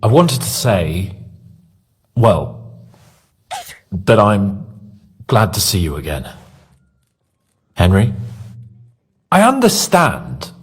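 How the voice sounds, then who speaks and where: An actor playing a cocky, excitable young nobleman in a video game, with a husky voice and a theatrical manner. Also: fairly low pitch, drawn-out words, pauses often, heavily acted. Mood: sheepish. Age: young adult. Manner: theatrical, animated.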